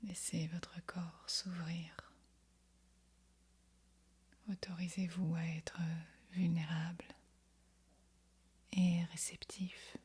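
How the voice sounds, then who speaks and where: A woman leads a guided meditation in a very breathy, husky near-whisper. Her voice is low and stays on an even, gentle line. She gives each instruction softly, then leaves room before the next. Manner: soft, soothing, unhurried instruction with long pauses. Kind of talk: guided yoga nidra meditation.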